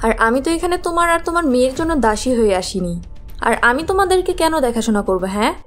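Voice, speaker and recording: A woman voicing a scheming, spiteful young stepmother in a fairy-tale cartoon, sharp-tongued and scornful. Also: high voice, animated, fast, faint music behind. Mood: scornful, scolding.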